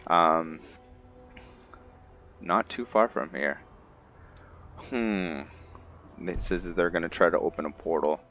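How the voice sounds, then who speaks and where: A man's voice: low-pitched, slow pace, pauses often, dull audio, faint music behind. A man giving unscripted video game commentary, musing and hesitant as he thinks aloud while role-playing. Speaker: gaming video host, role-playing a character.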